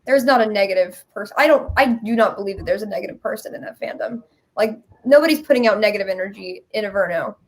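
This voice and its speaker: A woman's voice: medium pitch, fast. A woman chatting casually and earnestly as an interview guest. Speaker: musical creator, interview guest. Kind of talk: casual chat.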